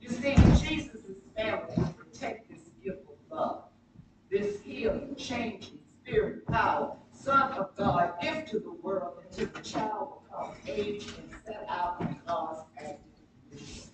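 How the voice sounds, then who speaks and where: A woman preaching a sermon with emphatic, dramatic oratory and deliberate pauses. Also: mid-range voice, lively intonation. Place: church sanctuary during a live service. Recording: very echoey, distant mic, noisy church livestream.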